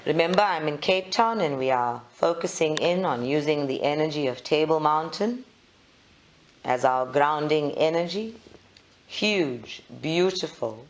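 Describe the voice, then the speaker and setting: A meditation leader, giving measured guided instructions in short phrases with long pauses. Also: medium pitch.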